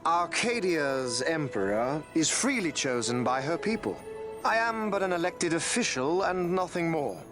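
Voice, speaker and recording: An actor playing an eloquent, calculating imperial consul, speaking in a stately, formal, theatrical manner for a video game. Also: male voice, a little high, big pitch swings, music in the background. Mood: genial, commanding. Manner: stately, eloquent, measured oratory. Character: smooth, regal adult male imperial consul, eloquent and calculating.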